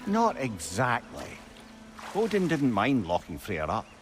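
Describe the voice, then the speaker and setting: A man's voice: medium pitch, wildly swooping pitch, unhurried, soft background music. A man voicing a learned old advisor in a video game, eloquent and wry, with a Scottish accent. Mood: storytelling. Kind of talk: video game storytelling and banter.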